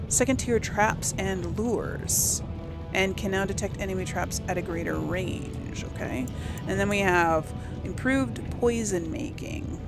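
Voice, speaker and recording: A woman hosting a casual role-play game series, thinking aloud and chuckling at her own mistakes. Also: mid-range voice. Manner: chatty, thinks aloud, laughs at herself. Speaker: let's play host. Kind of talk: casual gameplay commentary.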